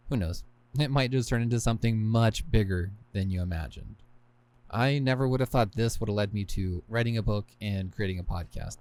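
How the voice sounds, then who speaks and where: A man's voice: mid-range voice, darkish tone. A man hosting a podcast and reading his own scripted book narration, with wry, self-deprecating asides. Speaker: podcast host and author.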